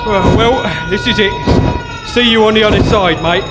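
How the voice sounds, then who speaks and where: An actor playing a loyal, fatalistic, slangy convict mate in a live comedy radio drama. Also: male voice, sits high, moderate pace, heavy background noise, natural voice. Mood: resigned, tender. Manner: matey, slangy, colloquial.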